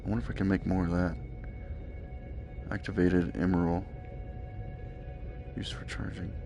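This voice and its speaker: A man's voice: low-pitched, fairly even intonation, normal speed, vocal fry, warm tone, faint music behind. A man giving casual live commentary on a game, laid-back and mostly talking to himself, muttering along as he goes. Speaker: gaming streamer.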